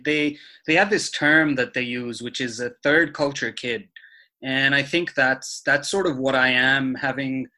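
A young man from Dubai, a podcast guest, talking in a thoughtful, measured way with frequent fillers. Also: medium pitch, bright, forceful tone. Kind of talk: conversational interview.

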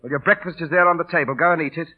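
An actor playing a cocky, teasing but kindhearted working-class London busker, in theatrical old radio drama style. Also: male voice, a little high, rapid-fire, lightly acted. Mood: breezy, bantering. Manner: theatrical, wry, colloquial. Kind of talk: 1930s-40s radio drama acting. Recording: muffled old radio broadcast recording.

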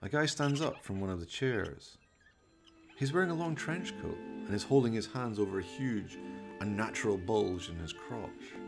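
Guy narrating a radio drama, low gravelly voice, some music underneath. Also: animated, normal speed. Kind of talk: scripted radio play narration.

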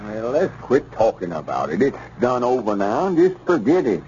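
An actor playing a bossy, impatient old country storekeeper in a 1930s radio comedy, scolding in a drawl. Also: male voice, mid-range voice, big pitch swings, normal speed, a little mellow, lightly acted. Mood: exasperated. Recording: muffled 1930s radio recording with faint hiss.

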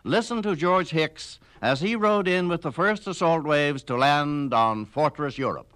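Male narrator, formal scripted history documentary for radio, voice a little above average pitch. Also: measured pace, fairly bright tone. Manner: formal, declarative.

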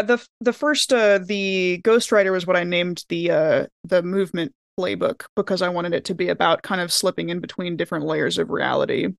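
A woman's voice: on the low side, creaky, no echo, clean studio sound. A woman chatting casually as a podcast cast member, often laughing as she talks. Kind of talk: casual chat.

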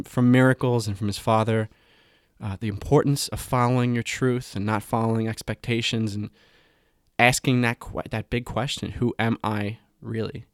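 Enthusiastic podcast host, dry sound. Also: male voice, mid-range voice, no echo. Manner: enthusiastic, upbeat and hyped. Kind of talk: podcast intro and interview.

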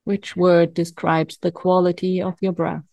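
Female meditation guide, lowish and very unhurried, calm and soothing, leaving long pauses, close dry sound. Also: creaky, dry recording. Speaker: meditation coach.

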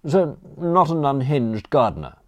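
A man voicing an affable, dim, easily baffled gentleman in an audiobook, chummy and hesitant. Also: mid-range voice, sing-song, measured pace, voice pushed up. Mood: puzzled, cheerful. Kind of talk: audiobook character dialogue.

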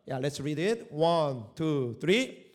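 A middle-aged man speaking English with a Korean accent, preaching in a fairly high voice. He talks haltingly, restarting phrases and pushing up on key words. Korean is his first language, and he works as a missionary pastor. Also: very animated, a little mellow. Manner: halting non-native English with restarts, rising emphasis. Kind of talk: sermon.

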